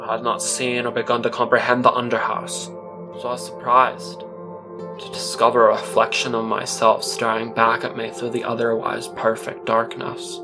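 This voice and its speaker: A man's voice: medium pitch, completely flat delivery, moderate pace. A man performing a first-person audio drama monologue as narrator, in an awkward, earnest storytelling manner. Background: music.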